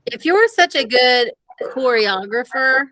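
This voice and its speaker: A woman voicing a firm, fair-minded female teacher and coach in a children's audiobook, speaking in a measured, admonishing manner. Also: high voice, animated, fairly bright tone, dry recording, heavily acted, low-quality recording. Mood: disapproving. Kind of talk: children's audiobook character reading.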